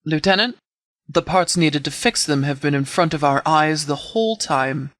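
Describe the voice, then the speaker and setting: A commanding video game sheriff, acted by a man, pitched a little above the usual male range. He speaks in measured, formal sentences with stern authority, like a shrewd negotiator laying down terms. The sound is close and dry, free of room reflections. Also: expressive, a bit bright, no room sound. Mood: measured, businesslike. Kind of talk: scripted game dialogue.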